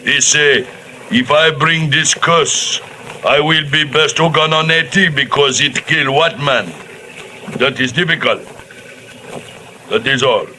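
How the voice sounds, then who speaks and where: A superstitious old voodoo fake who speaks in slow, broken English, commanding and proud. His voice is bright and forceful, and he draws every phrase out at a very slow pace. It sounds like an old radio play with a faint hiss underneath. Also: on the high side, full character voice, poor audio. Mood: fearful. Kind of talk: 1940s radio acting.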